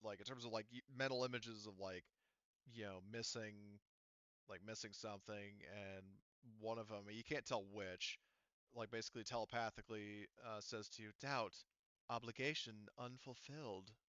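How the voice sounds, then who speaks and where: A man refereeing a tabletop game as game master in a casual, halting way, thinking aloud as he goes. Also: on the low side, fairly even intonation, pushed and bright, clean tone, no room sound, highs cut off.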